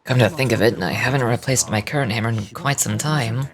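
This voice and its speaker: A man's voice: mid-range voice, brisk, natural voice. A man acts a middle-aged working father in a game. His mid-range voice is plain and earnest, with a weary, hesitant edge. Faint music sits behind the dialogue. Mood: encouraged. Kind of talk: scripted game character dialogue.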